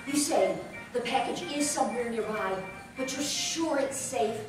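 A woman's voice: a little high, moderate pace, close to an everyday voice. A woman playing a nervous nightclub singer. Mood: worried. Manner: urgent, worried. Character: anxious young woman singer mixed up with spies. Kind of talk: live 1940s radio play acting. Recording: echoey hall from far off with music.

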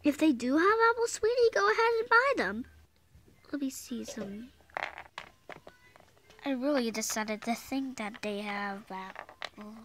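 A woman's voice: on the high side, expressive, a little slow, pauses often, fairly bright tone, a little hiss or hum. A young girl voicing toy pets in a homemade skit on the floor, switching between a scolding mother and a whining child. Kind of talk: toy figure skit with many voices. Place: indoors at home, on a wooden floor. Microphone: phone camera held close to toys.

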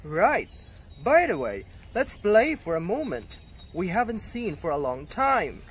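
A man voicing a friendly, helpful zebra puppet, explaining simply and deliberately in a scripted educational show. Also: high voice, sing-song, husky, exaggerated voice. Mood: eager. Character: helpful friendly male zebra puppet, confident little teacher.

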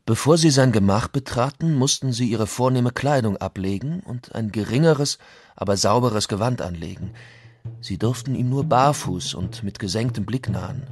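A conquistador chronicler in a medium-pitched voice. He recounts events as a dramatized historical account for a documentary. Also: moderate pace, a little mellow, husky, no room sound. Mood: measured recounting.

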